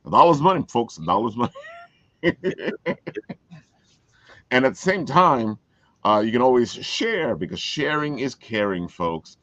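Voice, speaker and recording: Guy hosting a casual show, lively swings in pitch, folksy, repeats himself, dull lo-fi audio. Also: unhurried, pauses often, no echo. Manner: folksy, emphatic repetition. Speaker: show host. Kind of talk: casual promotional livestream chat.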